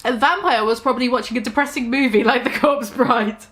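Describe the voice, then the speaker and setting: Woman, mock-dramatic reading, sarcastic asides, high pitch. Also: fairly even intonation, fairly quick, a bit bright. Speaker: comedic reader.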